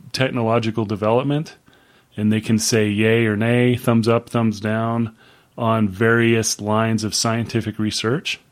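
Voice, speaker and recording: A man in his fifties co-hosting a book podcast, talking in a casual, rambling way with many pauses and fillers. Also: on the low side. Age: 50s. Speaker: podcast co-host.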